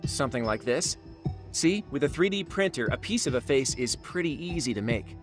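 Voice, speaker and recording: A man's voice: medium pitch, lively intonation, moderate pace, faint music behind, close to an everyday voice. A man playing a polite, tech-savvy character in an English-dubbed crime game, explaining things precisely. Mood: explanatory.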